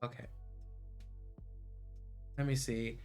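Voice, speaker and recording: A man's voice: medium pitch, flat delivery, slow pace, vocal fry, a little mellow, smooth. A man livestreaming in a playful manner, switching between casual chat and reading visual novel narration aloud. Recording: music under the voice.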